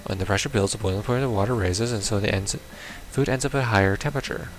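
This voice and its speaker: A man's voice: fairly low pitch, racing, faint music behind, rough recording. A man giving casual let's play commentary on a visual novel, reading game text aloud and reacting in a bemused, exclamatory way. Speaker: gamer playing a visual novel.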